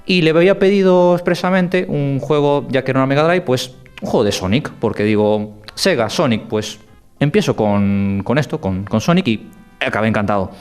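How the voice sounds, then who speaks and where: A man from Argentina, a guest on a radio podcast, telling stories in a halting, animated way with frequent restarts. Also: medium pitch, smooth, soft background music. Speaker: podcast guest, game music commentator. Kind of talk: casual radio podcast chat.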